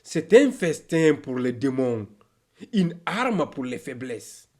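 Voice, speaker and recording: A man reciting a motivational poem in a declamatory, exhortative way, in short measured phrases with deliberate pauses. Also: mid-range voice, very animated, darkish tone.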